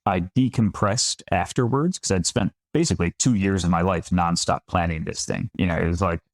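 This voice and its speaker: A man's voice: deep voice, a little fast, no echo, studio quality. A man in his thirties from upstate New York, a winemaker guest on a podcast, chatting in a self-deprecating way with frequent restarts. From Finger Lakes region of New York. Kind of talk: conversational podcast interview.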